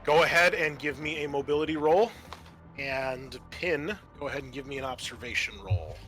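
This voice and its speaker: A man working as game master of a tabletop roleplaying game, narrating in a conversational, halting way. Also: mid-range voice, a bit bright, faint music behind.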